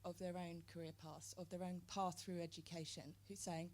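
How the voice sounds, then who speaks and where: A woman on a panel describes a youth project in a flat, even tone. Her voice sits fairly low, with faint background noise. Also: normal speed. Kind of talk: panel discussion.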